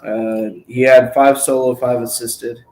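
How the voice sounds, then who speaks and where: A man's voice: medium pitch, level tone, a little slow, darkish tone, rough recording. A man co-hosting a casual fan stream, reading off game numbers in a matter-of-fact way. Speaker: co-host reading stats. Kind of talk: casual live-stream sports chat.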